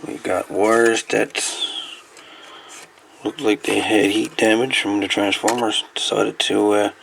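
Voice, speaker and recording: A man talks through a repair in a low, gravelly voice. He goes very slowly and haltingly, often muttering to himself, with light noise behind him. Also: level tone, a bit bright, poor audio. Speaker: host of a radio repair video. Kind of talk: casual hands-on repair commentary.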